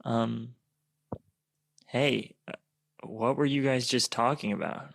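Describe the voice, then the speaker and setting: Man as slow-spoken skeptical teenage girl. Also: medium pitch, steady tone, gravelly, a bit bright. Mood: curious. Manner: probing, skeptical.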